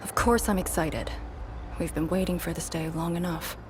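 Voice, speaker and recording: An actress playing a stern, reserved young field operative in a video game, clipped and commanding. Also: female voice, lowish voice, fairly even intonation, normal speed, noisy background, natural voice. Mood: stern, focused. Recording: loud game music behind. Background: loud music and game sound.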